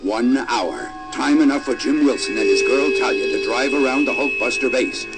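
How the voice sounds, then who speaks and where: A man's voice: medium pitch, normal speed, loud music behind the voice, natural voice. A man narrating an adventure audio drama in a dramatic, scripted storytelling style.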